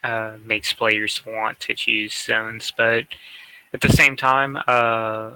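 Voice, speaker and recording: A man co-hosting a casual gaming discussion, speaking in a halting way. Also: fairly low pitch, flat delivery, sharp tone, smooth, rough recording. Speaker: podcast co-host.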